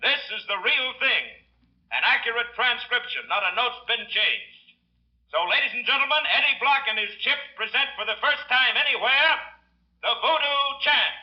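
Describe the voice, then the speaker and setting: An actor playing a grandiose nightclub announcer, declaiming a stage introduction in a 1940s radio drama. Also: male voice, extremely high pitch, expressive, normal speed. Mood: grand. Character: showy male nightclub announcer introducing a band. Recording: muffled, echoing, distant old radio recording.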